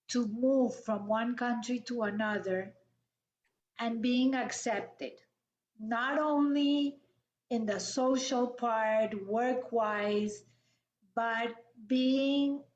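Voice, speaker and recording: Woman host, middle-aged, unhurried and measured, dull roomy webcam sound. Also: a little high. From Colombia. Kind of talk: video call interview. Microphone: video call webcam. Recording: echoey, dull webcam audio.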